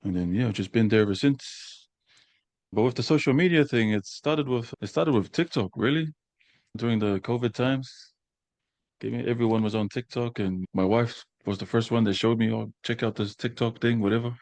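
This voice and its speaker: A man's voice: mid-range voice, normal speed, a little mellow, no room sound. Easygoing young man from Samoa. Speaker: guest. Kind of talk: casual interview chat.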